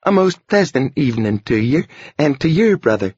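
A man's voice: medium pitch, very animated, normal speed, a little mellow, breathy edge, no room sound, muffled recording, pitched above the performer's own voice. A man reading an audiobook voices a toothless old countrywoman who talks in a folksy old-fashioned dialect. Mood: fawning. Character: old toothless rustic tomato woman, wheedling.

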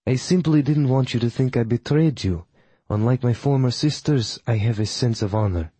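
A man's voice: medium pitch, normal speed, warm tone, husky, no echo, lightly acted. A man voicing a proud female witch who leads a coven, speaking with a Polish accent in a formal, clipped, disdainful way. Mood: cold.

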